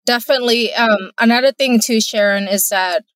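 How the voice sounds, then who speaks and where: A woman's voice: a little high, fairly quick, a bit bright, no room sound. Earnest Thai-accented woman entrepreneur. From Thailand. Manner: earnest, careful, laughs easily. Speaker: entrepreneur, guest. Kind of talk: casual interview answers.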